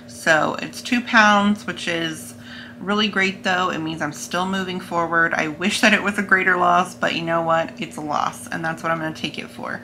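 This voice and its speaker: A young American woman talks casually to camera in a bright, forward voice. Her pitch sits a little low. She is recorded indoors with faint noise behind her. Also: fairly even intonation, normal speed, rough recording. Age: young adult. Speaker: vlogger. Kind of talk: casual vlog talk to camera.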